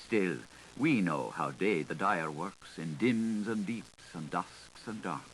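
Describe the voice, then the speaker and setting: Male literary narrator, theatrical and highly varied intonation, singsong phrasing, faint hiss of a 1980s recording. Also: lively intonation, slow, fairly bright tone, poor audio. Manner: theatrical, lilting, singsong delivery. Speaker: audiobook narrator. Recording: hissy 1980s audiobook recording.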